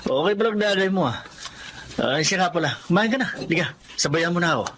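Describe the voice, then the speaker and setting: A man's voice: high voice, lively intonation, quick pace, fairly bright tone, slight background noise. A man playing a friendly, considerate young man of the household, easygoing and coaxing, in a Filipino radio drama. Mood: pleased, kind.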